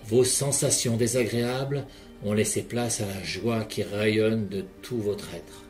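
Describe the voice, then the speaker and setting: A man leading a guided relaxation session in a calm, measured voice, speaking directly to the listener. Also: lowish voice. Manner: calm, measured second-person guiding. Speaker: hypnotherapist guide. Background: soft background music.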